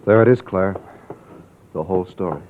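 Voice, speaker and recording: A man's voice: sits low, normal speed, natural voice. An actor playing an earnest, troubled man on the run in a vintage radio drama. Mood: subdued, sincere. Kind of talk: 1940s-50s radio drama acting. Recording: tinny, noisy old radio recording.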